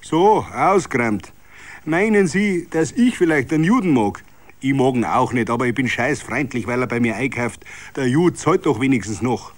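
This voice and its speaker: A man's voice: medium pitch, expressive, moderate pace, lightly acted. A man voicing a bitter Bavarian tradesman who rants about politics in a tavern, with indignant, emphatic dialect grumbling.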